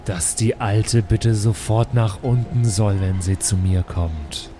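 A man's voice: on the low side, fairly even intonation, slow pace, noisy background. A man playing in an improvised audio role-play, speaking in a dry, slightly hesitant way. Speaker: player in a role-play show.

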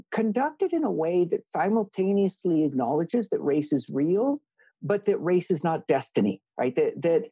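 Male guest from Hawaii, law scholar; warm, husky voice, dry close sound; earnest, emphatic, thinks aloud. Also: sits high, dry recording, low-quality recording. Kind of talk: thoughtful interview answers.

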